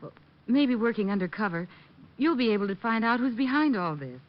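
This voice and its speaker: A woman's voice: a little high, animated, a little fast, close to an everyday voice. A woman playing a friendly, earnest young carnival fortune teller in old-time radio style. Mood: earnest, persuasive. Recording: dull old radio recording.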